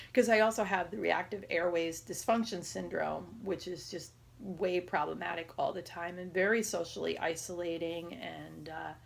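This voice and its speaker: A woman around forty with a raspy voice, talking casually and haltingly in a personal health vlog. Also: lowish voice, fairly even intonation. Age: 30s or 40s. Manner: halting, rambling, conversational. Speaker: patient video diarist.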